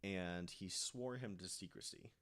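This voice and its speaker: A man with a fairly low voice tells a story in a casual, chatty way among friends. He speaks conversationally, often checking that the others are following along, like a panelist on a relaxed podcast. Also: a little slow.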